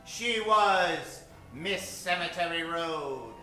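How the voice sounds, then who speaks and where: A man's voice: fairly high pitch, animated, takes it slowly, bright, forceful tone, heavily acted. A man narrating and announcing in a theatrical, suspenseful old-time radio style. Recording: very echoey, distant stage recording with music.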